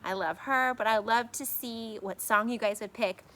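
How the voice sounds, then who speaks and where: A woman speaking to young children outdoors like a teacher, with casual chat and expressive storybook reading. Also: fairly high pitch, moderate pace, poor audio. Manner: addresses young children directly. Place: outdoors in a backyard. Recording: some background noise outdoors.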